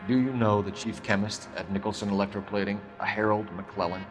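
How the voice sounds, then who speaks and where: A man's voice: lowish voice, fairly even intonation, brisk, over music, close to an everyday voice. A man playing an earnest young police detective in a video game, questioning in a clipped, formal way. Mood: probing, formal. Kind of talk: video game cutscene acting.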